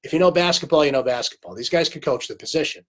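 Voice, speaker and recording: A man hosting a late-night live sports recap in a fairly high voice, chatty and opinionated. His voice is worn by a cold, and he talks straight into a webcam. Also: quick pace. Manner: chatty, opinionated, reads viewer comments aloud. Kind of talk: casual live-stream sports talk.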